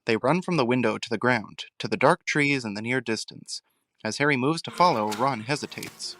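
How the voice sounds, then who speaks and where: A man's voice: medium pitch, moderate pace, pushed and bright, a little hiss or hum. A man reading scripted narration for a fan audio drama, recorded close on the mic. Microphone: close mic, dry recording.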